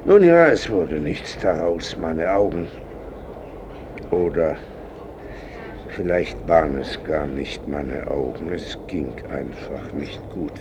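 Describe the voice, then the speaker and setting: An actor playing an imperious, proud old painter who lectures and grumbles in a peremptory way in a radio drama. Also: male voice, a bass voice, expressive, slow pace, noisy background, exaggerated voice. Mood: reflective. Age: older.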